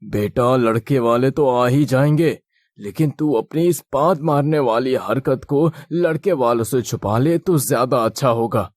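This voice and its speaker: A man voicing the men of a cartoon family tale, in an expressive, exclamatory way. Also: mid-range voice, unhurried, no room sound, clean studio sound, natural voice. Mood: admonishing. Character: men of a family tale: father, groom, priest. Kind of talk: animated moral-story dialogue.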